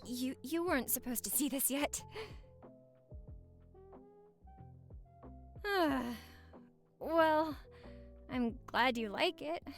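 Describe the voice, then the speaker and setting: High, lively, playful young wife played by a woman. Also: full character voice. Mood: startled, indignant.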